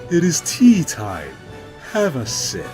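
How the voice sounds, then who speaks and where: A man's voice: fairly high pitch, huge pitch swings, slow pace, music in the background, voice pushed up, heavily acted. A man voicing a brash, macho cartoon cat who talks in boastful, pompous, stilted phrases in a comic reading. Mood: smug quipping. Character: brash, macho male cartoon cat warrior, coolly menacing. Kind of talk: comic dramatic reading for web animation.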